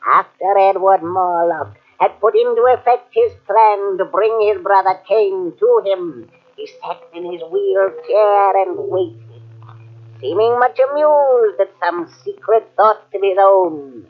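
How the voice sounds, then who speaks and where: An actor playing an ominous storyteller, narrating in a measured, theatrical 1940s radio style. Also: male voice, high voice. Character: ominous storyteller reading from a sealed book. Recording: dull, lo-fi old radio cassette recording.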